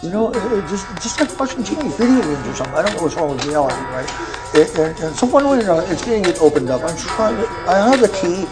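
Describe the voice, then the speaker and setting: A man's voice: high-pitched, sing-song, fast. A man giving a rambling, impassioned personal account, stammering and repeating words in an agitated, insistent way. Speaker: amateur filmmaker telling his own case. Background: music.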